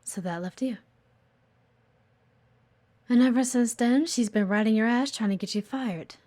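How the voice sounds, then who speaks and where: A woman's voice: fairly high pitch, a little slow, a little mellow. A woman playing a blunt, guarded but earnest executive assistant in an audio roleplay, assertive and exasperated. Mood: earnest, admiring. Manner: exasperated, assertive, frequent sighs. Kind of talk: audio roleplay acting addressed to the listener.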